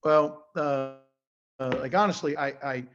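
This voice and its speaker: A man's voice: a little high, unhurried, muffled recording. A middle-aged man, a basketball coach, answering with lots of energy, hesitations and repeated words. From West Virginia. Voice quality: loud. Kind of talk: casual interview answers.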